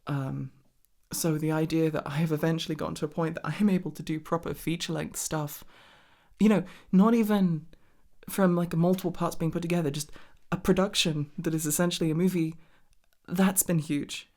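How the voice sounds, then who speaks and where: A woman's voice: sits low, steady tone, brisk, a little mellow. A woman rambling casually and intimately to the listener, informal and sweary, with a giggly, self-deprecating way of talking. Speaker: ASMR content creator and roleplay performer.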